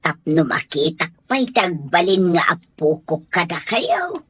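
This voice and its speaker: A woman with an Ilocano accent acting an older mother in a radio drama, with a slightly husky voice. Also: lowish voice, big pitch swings, a little slow, a bit bright, no room sound, exaggerated voice. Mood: tender, wistful.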